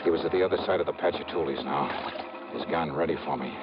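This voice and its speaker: A man's voice: very deep voice, fast talker. An American actor playing a tough, wry investigator narrating his case in old radio noir style. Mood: tense narration. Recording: muffled vintage radio, music bed.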